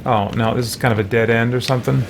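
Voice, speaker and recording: A man's voice: medium pitch, fairly even intonation, fast, creaky, very noisy. A middle-aged man giving casual gameplay commentary, muttering and thinking aloud. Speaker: gaming streamer. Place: small room at home.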